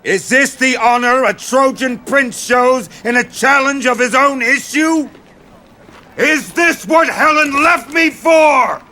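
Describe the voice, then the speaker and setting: Bright, high-pitched proud warrior king. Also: slow, exaggerated voice. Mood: furious, taunting. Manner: declamatory, forceful.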